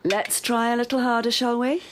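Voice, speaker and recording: An actress playing a young hospital nurse who is brisk, playful and persistent with her patient. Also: fairly high pitch, fairly even intonation, a little hiss or hum, natural voice. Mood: teasing. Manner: brisk, teasing, coaxing. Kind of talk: radio drama acting. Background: music score.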